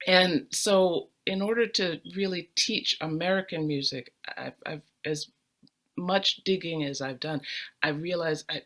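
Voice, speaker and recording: A woman's voice: lowish voice, measured pace, fairly bright tone. A middle-aged woman, a string player, speaking on a panel, earnest and emphatic, often repeating words for weight. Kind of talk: personal storytelling on a panel.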